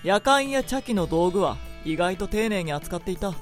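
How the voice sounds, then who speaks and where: Woman playing a gruff, brusque young man. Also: medium pitch, expressive, normal speed, faint music behind, close to an everyday voice. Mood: calm, wry. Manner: curt, brusque, commanding. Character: gruff, short-tempered, bossy young man with soft heart. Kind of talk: radio drama acting.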